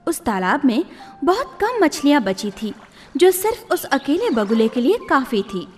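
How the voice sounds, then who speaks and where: A woman storyteller reading scripted children's tales in a deliberate, expressive manner. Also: high-pitched. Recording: long reverb, music behind.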